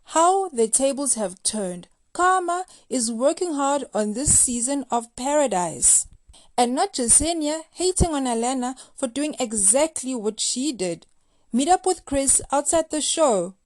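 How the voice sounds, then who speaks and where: A woman's voice: high-pitched, no room sound. A woman hosting a recap show, reading scripted commentary in a playful, gossipy, slangy way. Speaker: host of a reality TV recap show.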